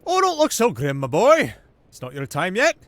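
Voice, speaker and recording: A man's voice: high voice, huge pitch swings, normal speed, a bit bright, exaggerated voice. A man playing a menacing older noble father and schemer in a fantasy audio drama, mock-courteous and condescending. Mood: mocking menace.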